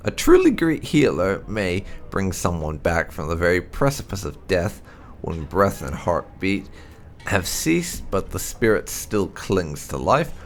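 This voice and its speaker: A man narrates written game lore in a fairly low, creaky voice. His reading is deliberate and swings widely in pitch, leaning on key words for drama. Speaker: host of a game playthrough channel.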